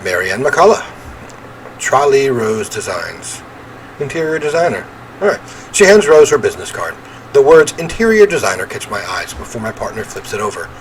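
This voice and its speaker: A man reading visual novel narration aloud in an expressive storytelling way, with casual commentary. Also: mid-range voice, moderate pace, a little hiss or hum, low-quality recording. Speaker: video game let's player.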